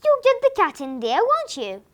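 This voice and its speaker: A woman's voice: very high-pitched, huge pitch swings, normal speed, heavily acted. A woman voicing a fussy, doting elderly wife who knits, speaking in a pleading, reproachful way.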